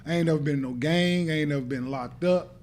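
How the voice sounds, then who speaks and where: A man's voice: fairly high pitch, quick pace, darkish tone, a little hiss or hum. A middle-aged man, a podcast guest, talking in blunt casual slang and stuttering through restarts. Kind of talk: casual chat. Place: podcast studio.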